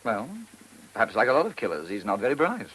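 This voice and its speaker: A man's voice: on the low side, big pitch swings, quick pace, a bit bright, husky, heavily acted, poor audio. A man with a British accent playing an eager, smug junior police detective in a radio drama, deferential yet cocky. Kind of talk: mid-century British radio drama acting. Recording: old radio recording with faint hiss.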